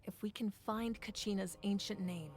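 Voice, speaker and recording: A woman playing a calm, commanding ruler of a fire nation who speaks in a composed, measured, earnest way. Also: mid-range voice, lightly acted. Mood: steady. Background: game music.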